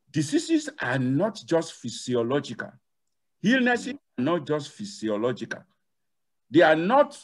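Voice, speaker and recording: An older man speaks as an academic guest on a video call. His voice sits fairly high and swings widely in pitch. He explains emphatically, repeating key phrases for weight. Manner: emphatic, repeats key phrases, asks and answers own questions. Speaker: university lecturer, guest expert. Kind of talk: explanatory interview talk. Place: indoor room at home. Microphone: headset on a video call.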